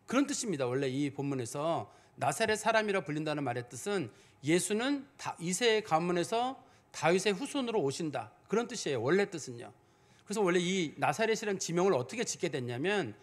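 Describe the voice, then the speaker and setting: A male pastor giving a sermon, explaining in a conversational way and asking rhetorical questions. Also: high-pitched.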